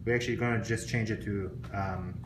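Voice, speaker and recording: A man walking through a vending machine demo, talking haltingly with many fillers, filmed on a handheld phone indoors in a high-rise. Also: steady tone. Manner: halting, many ums and uhs, plain explanatory. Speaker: vending company representative. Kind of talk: product demonstration walkthrough. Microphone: handheld phone camera. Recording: echoey hallway with loud background noise.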